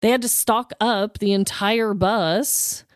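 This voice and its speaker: A woman co-hosting a podcast in casual, comedic chat, with a chatty, giggly, animated manner. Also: mid-range voice, slow pace, no echo, studio quality. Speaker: podcast co-host.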